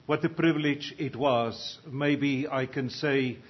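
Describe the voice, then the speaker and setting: A man's voice: medium pitch, steady tone, husky. An older man from Africa, preaching a sermon earnestly and reading scripture aloud. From South Africa. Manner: slow, earnest delivery, rising in volume for readings. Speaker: pastor. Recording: dull lo-fi sound.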